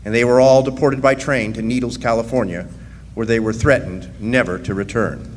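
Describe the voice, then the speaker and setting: A man playing a plainspoken, wry miner who tells his story in a live radio drama, with a folksy and deliberate delivery. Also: mid-range voice, steady tone, lightly acted. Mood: wry, grave. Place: live performance in a resort hall. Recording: echoey hall stage, faint noise.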